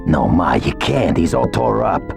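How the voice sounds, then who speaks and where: A harried mobster son in a video game. His gravelly voice leaps up and down dramatically, with music faintly behind. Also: low voice, very animated, a little slow, a little mellow, full character voice. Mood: placating, bossy. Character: harried adult mobster son managing his raging mother. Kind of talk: video game cutscene acting.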